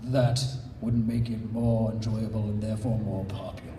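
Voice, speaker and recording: A man's voice: lowish voice, flat delivery, moderate pace, warm tone, a little hiss or hum. A man reading fan fiction aloud as a comedic live panelist, in a convention room. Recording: echoey convention room, distant mic.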